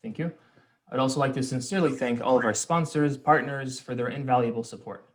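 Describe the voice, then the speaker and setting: A man's voice: medium pitch, brisk. A man hosting an online event, giving formal thanks to sponsors and handing over to a co-host in a polite manner. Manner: polite, formal, with small restarts. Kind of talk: formal opening remarks.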